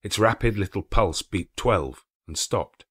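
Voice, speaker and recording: A low-pitched male narrator reads classic fiction in a very dry acoustic. His delivery is theatrical and measured, leaning on key phrases with clear emphasis, as a storyteller would. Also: expressive, fairly bright tone, no room sound. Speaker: audiobook narrator.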